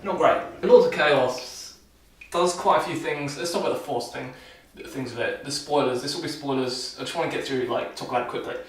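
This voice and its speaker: A man's voice: medium pitch, brisk. Young man rambling in an echoey room. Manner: loose and chatty with frequent restarts and dry sarcasm. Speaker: vlogger. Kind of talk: casual rambling film review. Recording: echoey room, mic far off.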